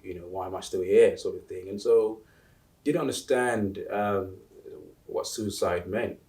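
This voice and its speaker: A man in his forties from Uganda, answering interview questions in a halting way, with many restarts and fillers. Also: deep voice, darkish tone, recorded from a distance. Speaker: interview guest. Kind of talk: casual interview talk.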